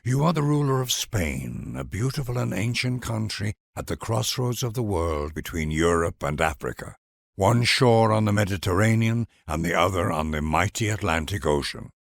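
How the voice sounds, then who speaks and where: A man's voice: low-pitched, lively intonation, normal speed, vocal fry, dry recording, clean studio sound, exaggerated voice. A man voicing a reverent herald in a game, narrating in a formal, solemn and stately manner as if addressing a great ruler. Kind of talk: scripted grand narration.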